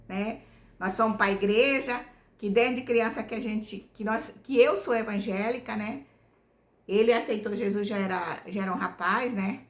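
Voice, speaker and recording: A woman from Bahia, telling her family story to her channel in a loose, homespun way. She keeps checking in with "né?" as she goes. Her voice sits a little high, and the room around her echoes. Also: fairly bright tone. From Bahia, Brazil. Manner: homespun, rambling, tags nearly every phrase with "né?". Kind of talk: casual storytelling to viewers.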